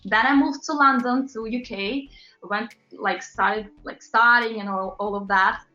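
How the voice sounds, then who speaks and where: A woman's voice: a little high, unhurried, pushed and bright. A woman from Latvia, a pop singer, chatting as an interview guest, with frequent fillers and laughs. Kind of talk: casual interview chat. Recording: roomy, dull lo-fi sound with faint music.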